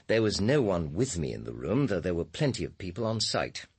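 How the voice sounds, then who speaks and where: An actor playing a composed, guarded middle-aged power station director, formal and precise, with a British accent in a radio drama. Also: male voice, deep voice, sing-song, darkish tone, breathy edge, heavily acted. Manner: formal, precise, measured, educated phrasing.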